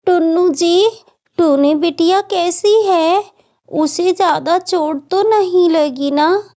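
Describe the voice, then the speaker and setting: A woman voicing a gentle, devoted mother bird in a cartoon, speaking with tender, earnest pleading. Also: very high voice, takes it slowly, pitched above the performer's own voice, heavily acted. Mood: worried. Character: gentle, self-sacrificing mother bird, devoted and long-suffering. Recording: dull, muffled lo-fi audio.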